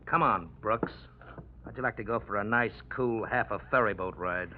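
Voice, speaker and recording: A man's voice: lowish voice, normal speed, a little hiss or hum, natural voice. An American actor playing a jealous, threatening tough guy with a sneering manner in an old radio crime drama. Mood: angry, threatening. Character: menacing male tough guy, jealous, sneering, gun-waving. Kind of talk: 1940s radio crime acting. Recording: muffled vintage radio recording.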